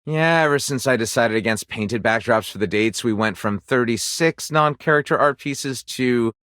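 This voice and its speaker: A man's voice: medium pitch, normal speed, a bit bright, no room sound, studio quality, natural voice. A man voicing a weary, theatrical, fussy game developer in a video game, dramatic and prone to interrupting himself. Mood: flustered. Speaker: game director character.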